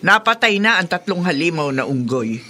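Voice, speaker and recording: A woman's voice: on the low side, big pitch swings, moderate pace, sharp tone, exaggerated voice. An actress playing a worried, soothing middle-aged mother in a Filipino radio horror drama, fussing and reassuring with a Filipino accent. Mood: soothing concern.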